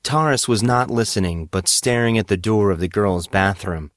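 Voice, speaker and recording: A man with a low voice narrates a piece of fiction. His storytelling is measured and dramatic, clearly read from a script. The recording is dry, with no room sound. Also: no room sound.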